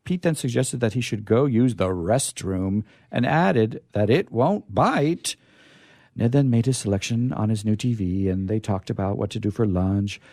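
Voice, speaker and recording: Male comic storyteller and host, very lively pitch, close dry sound, playful ad-libbing. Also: no room sound. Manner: playful, exaggerated delivery with ad-libbed asides. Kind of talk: live comic story reading with sign-off.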